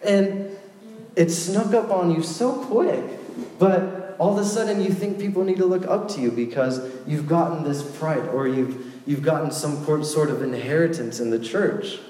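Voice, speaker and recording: A young man preaching to a youth group in a casual, joking way, with lively asides. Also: fairly high pitch, normal speed. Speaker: youth pastor. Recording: echoey hall with faint ambience.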